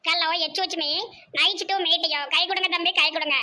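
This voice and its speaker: A woman's voice: extremely high pitch, steady tone, fairly quick, bright, forceful tone, heavily acted. A woman voicing a cheeky, grumbling village woman in a comic cartoon, with a southern Tamil Nadu accent. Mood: mock-grand, bossy. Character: loud, cheeky village woman turned fruit-stall owner. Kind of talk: comic cartoon dialogue in colloquial dialect.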